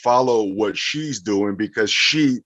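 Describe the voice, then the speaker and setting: A man's voice: lowish voice, slow, fairly bright tone, no room sound. An American man from Las Vegas, a voice actor chatting casually as an interview guest. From Las Vegas, United States.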